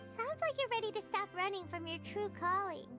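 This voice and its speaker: A woman voicing a small, cheerful, eager fairy companion with a childlike, squeaky voice for a video game. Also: expressive, brisk. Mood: encouraging. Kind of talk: English video game voice acting. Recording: music playing behind the voice.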